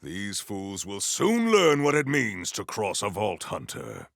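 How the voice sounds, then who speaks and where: An actor playing a solemn, honor-bound warrior-monk in a video game, speaking in a formal, stately, theatrical way. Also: male voice, on the low side, huge pitch swings, slow pace, gravelly, a bit bright, no echo, studio quality, heavily acted. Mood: vengeful, resolute. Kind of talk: scripted video game dialogue.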